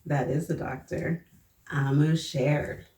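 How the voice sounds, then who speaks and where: Slow, low-voiced woman reading a storybook, echoey room. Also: steady tone. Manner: gently prompting listeners with questions. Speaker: children's storybook reader and host. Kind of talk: read-aloud storytelling with asides to children. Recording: roomy echo, distant mic, faint noise.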